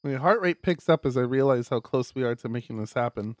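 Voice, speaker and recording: A man streaming a visual novel, reading it aloud as expressive narration with casual asides. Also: mid-range voice, brisk, no echo, clean studio sound.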